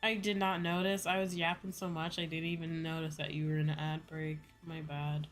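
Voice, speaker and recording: A woman with a low voice talks to her livestream audience over faint music. She rambles along in a very casual, chatty way, leaning on filler words and dropping in the odd swear. It sounds like an unscripted catch-up with regular viewers. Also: little pitch movement, fairly quick. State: sleepy. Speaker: VTuber livestreamer; school teacher. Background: music behind the voice.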